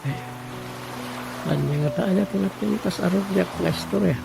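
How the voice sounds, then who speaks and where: A man's voice: high-pitched, normal speed, gravelly, music in the background, rough recording. A man acting a musing villager who thinks aloud in an Ilocano radio comedy, with an Ilocano accent. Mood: pondering. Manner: thinking aloud, with hums and sighing interjections.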